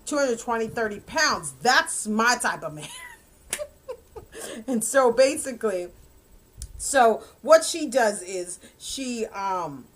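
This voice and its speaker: A woman's voice: fairly high pitch, huge pitch swings, takes it slowly, pauses often. A woman in her fifties giving a rambling, casual book review, often correcting herself and laughing. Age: 50s.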